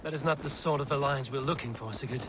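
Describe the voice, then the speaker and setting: An actor playing a level-headed, wary Viking warrior in a video game, speaking in a measured, sober way. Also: male voice, mid-range voice, background noise, lightly acted.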